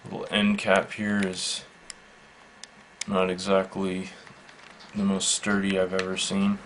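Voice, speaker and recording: A man giving a casual, unscripted product demonstration in a matter-of-fact, halting, measured way. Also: low-pitched, monotone, a bit bright. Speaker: product reviewer. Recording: faint background noise.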